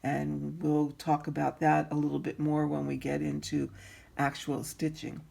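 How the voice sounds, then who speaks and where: A woman with a raspy voice teaching needlework in an easygoing tutorial, pausing often with ums and clearing her throat. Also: low-pitched, monotone, dark tone. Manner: slow, unhurried, frequent ums and throat clearing. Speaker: embroidery teacher. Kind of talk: instructional craft tutorial.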